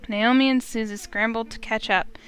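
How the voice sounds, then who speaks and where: A woman hosting a game playthrough, reading the story aloud as expressive narration. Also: a little high, moderate pace, a little hiss or hum.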